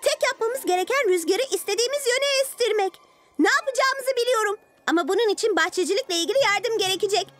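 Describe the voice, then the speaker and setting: A woman's voice: very high-pitched, fast talker, a bit bright. A woman voicing an eager, decisive young girl owl superhero in a cartoon dub. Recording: music under the voice.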